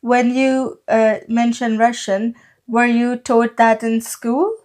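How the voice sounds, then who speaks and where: A woman's voice: on the high side, little pitch movement, slow pace, a little mellow. A young adult woman with a Pakistani accent, a native Urdu speaker co-hosting a casual podcast and explaining at length with many "like" and "so" fillers. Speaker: podcast co-host.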